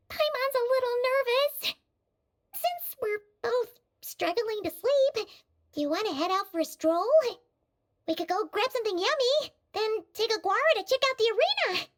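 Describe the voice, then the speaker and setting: A woman voicing a small, excitable fairy companion in a video game, with a squeaky childlike voice and bubbly, expressive manner. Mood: coaxing. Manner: bubbly, expressive, refers to herself by name. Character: small excitable fairy companion who speaks in third person.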